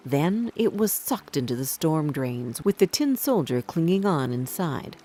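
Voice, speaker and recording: Lively, low-pitched woman storyteller. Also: a little slow. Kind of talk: scripted fairy tale narration for children. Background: background music.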